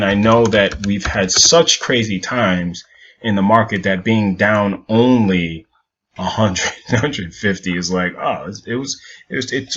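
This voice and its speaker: A low-pitched man from Jamaica walks through stock charts in a loose, rambling way, leaning on "so again" over and over. The recording is a little dull and lo-fi. Faint clicks and typing sit behind him. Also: fairly bright tone, slight background noise. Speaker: stock market analyst. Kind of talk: casual market recap over charts.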